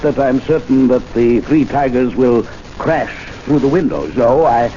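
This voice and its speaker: An actor performs a pompous gentleman at his club, halting and stiff, with a warm, dark tone. His pitch moves widely. The sound is a dull old radio broadcast with faint hiss. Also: expressive, lightly acted. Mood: haughty. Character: pompous older gentleman club member, haughty. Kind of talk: 1970s radio drama acting.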